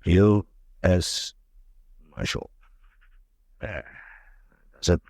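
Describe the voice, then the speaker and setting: A steady frontier marshal in an old radio western, speaking in a very deep, low voice. He talks in a dry, measured, firm way. The sound is close and dry. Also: vocal fry, breathy edge, dry recording, exaggerated voice. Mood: calm. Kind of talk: 1950s radio drama acting.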